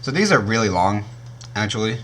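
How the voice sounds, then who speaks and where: A young man with a naturally deep voice, casually reviewing gear on his channel and often stopping to correct himself. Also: sing-song, a little fast, fairly bright tone, a little hiss or hum. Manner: rambling, self-correcting, frequent um and uh.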